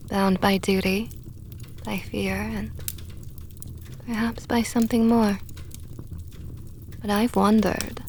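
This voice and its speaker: A woman voicing a possessive, flirtatious female vampire knight in an ASMR roleplay, talking softly and often in a whisper with a teasing tone. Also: on the high side, a little hiss or hum. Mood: probing intimate.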